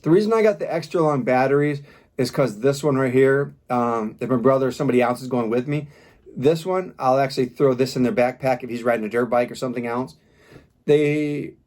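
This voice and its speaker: Male reviewer, medium pitch, informal and practical, walks through gear piece by piece in plain conversational style. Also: a little mellow. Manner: informal, demonstrates step by step. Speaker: product reviewer. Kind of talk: casual hands-on product review.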